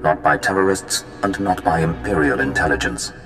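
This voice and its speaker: Very deep, imperious Sith lord. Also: male voice, moderate pace, fairly bright tone. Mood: cold, commanding. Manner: imperious, formal, solemn. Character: imperious male Sith lord, cold and commanding. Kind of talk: video game cutscene acting. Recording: cavernous echo, music underneath.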